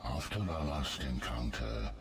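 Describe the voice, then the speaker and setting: A man playing a solemn, imperious otherworldly immortal in a fantasy video game, speaking gravely to mortals. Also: very deep voice, animated, measured pace, a loud crowd. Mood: grave, imposing.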